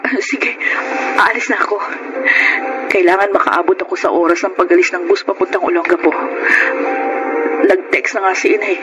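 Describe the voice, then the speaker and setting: A woman's voice: lowish voice, normal speed, pauses often, muffled recording, close to an everyday voice. An actress with a Filipino accent playing a needy, heartbroken young woman in a radio drama, tearful and pleading. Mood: subdued, grateful. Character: anguished, needy, heartbroken young woman confessing her life. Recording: loud music bed behind the voice.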